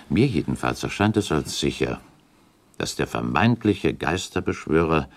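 Casting: shrewd count for radio drama, bottomless pitch, widely swinging melody, deliberate diplomatic phrasing. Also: male voice, vocal fry, full character voice. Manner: deliberate, hypothetical reasoning, formal and pointed. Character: shrewd, calculating count advising a prince, diplomatic. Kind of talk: German radio drama acting.

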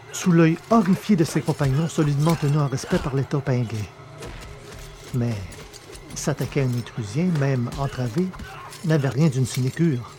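A man narrating a science fiction adventure in a measured, dramatic storytelling manner. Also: fairly high pitch. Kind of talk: scripted audiobook narration.

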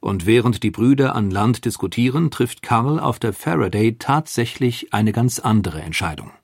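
A man's voice: mid-range voice, expressive, moderate pace, a little mellow, husky, no room sound, studio quality. A man reading scripted documentary narration as the narrator.

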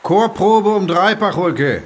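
An actor playing a gruff, guarded village choir leader in an audio drama, speaking in a curt, dry, commanding way. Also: male voice, high-pitched, lively intonation, takes it slowly, full character voice. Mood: stern, irritated. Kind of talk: German audio drama acting.

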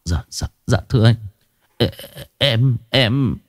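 A man's voice: medium pitch, animated, normal speed, no echo, pitched above the performer's own voice, close to an everyday voice. A cowering thug stammers and grovels in a slightly raised voice, as a male storyteller puts him on. The sound is dry and close. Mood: cowering pain.

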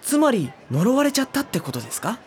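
A woman's voice: a little high, sing-song, quick pace, a little mellow, a little hiss or hum. A woman voicing a mild, put-upon teenage schoolboy who narrates and answers politely, with dry, slightly exasperated remarks. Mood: wry. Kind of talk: studio audio drama.

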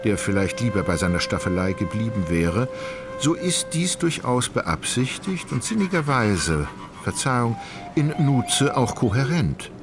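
A man narrating a literary radio drama in a measured, wry manner. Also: mid-range voice, very animated. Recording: studio voice over clear music.

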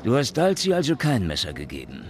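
An actor playing a sardonic, methodical police detective with a dry, probing manner in a crime radio play. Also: male voice, medium pitch, huge pitch swings, brisk, faint music behind. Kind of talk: hard-boiled radio crime drama acting.

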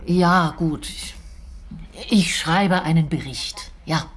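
A husky, fairly low-voiced woman as a businesslike investigator. She is insistent and pressing, with clipped replies, in a radio drama style. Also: slow pace, exaggerated voice. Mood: urgent, restrained. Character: insistent, businesslike female investigator on a telephone call. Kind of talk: German radio drama acting.

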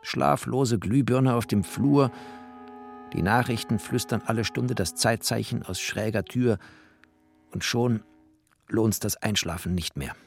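A man playing a bookish, wry refugee narrator in a literary audio drama, speaking in terse, expressive phrases. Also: lowish voice, measured pace, vocal fry, soft background music. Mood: brooding, observant.

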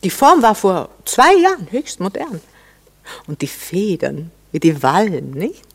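A woman's voice: medium pitch, wildly swooping pitch, a little slow, breathy edge, exaggerated voice. A teasing, worldly society lady with a tinge. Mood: gushing, mocking. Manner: mocking, coquettish, insistent. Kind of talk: classic German radio play acting.

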